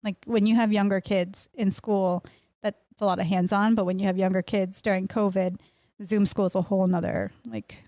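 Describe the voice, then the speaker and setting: Mellow-voiced woman in casual conversation. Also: mid-range voice, level tone, moderate pace, no echo. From Miami, born in Mexico. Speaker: podcast guest, pastry chef and bakery owner. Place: small indoor podcast room. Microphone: close studio mic on boom arm, headphones.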